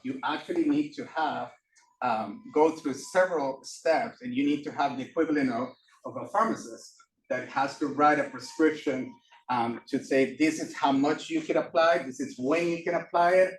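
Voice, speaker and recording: A man from Costa Rica giving a classroom lecture as a professor, halting with many fillers and restarts. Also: fairly high pitch. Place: lecture room. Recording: echoey room from far off.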